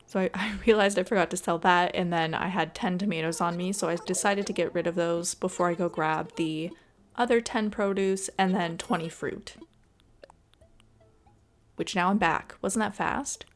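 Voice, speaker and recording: A woman giving casual, chatty and expressive voice-over commentary as she plays a video game. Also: on the low side, moderate pace. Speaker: gaming video creator. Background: background music.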